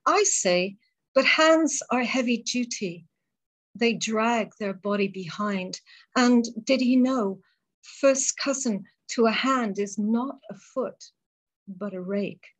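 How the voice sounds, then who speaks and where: A woman poet reading her poems aloud and talking between them, in a measured, deliberate way with pauses between phrases. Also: fairly high pitch, rough recording. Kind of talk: poetry reading.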